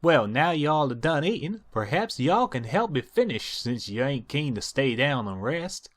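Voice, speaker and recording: A man's voice: medium pitch, big pitch swings, moderate pace. A man voicing a hard-working young female farm pony with a folksy Southern American drawl, bossy and familiar. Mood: coaxing.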